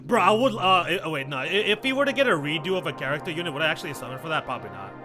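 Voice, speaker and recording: Stammering, slangy male streamer, fairly high voice. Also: expressive, rapid-fire, over music. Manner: stammering, repeating himself, slangy. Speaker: game streamer. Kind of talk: casual livestream commentary, reading game dialogue aloud.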